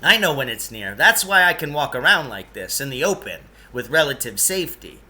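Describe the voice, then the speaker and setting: A man's voice: medium pitch, sing-song, brisk, sharp tone. A man voicing a pompous, jittery eccentric guide in a storybook, with emphatic, florid delivery. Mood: ominous, pompous. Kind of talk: storybook character voice.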